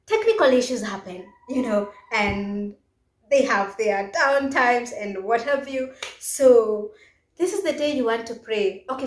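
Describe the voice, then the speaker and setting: A woman with a Kenyan accent giving casual, instructional career advice as a video host, stressing her points emphatically. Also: fairly high pitch. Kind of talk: casual instructional vlog talk. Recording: echoey, roomy sound.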